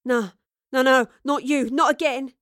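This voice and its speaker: A woman's voice: sits high, fairly even intonation, unhurried, a bit bright, no echo, studio quality, pitched above the performer's own voice. A woman voicing a feisty, suspicious young working-class girl in an audio drama, blunt and defiant, with a British accent. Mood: alarmed. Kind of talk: audio drama character acting.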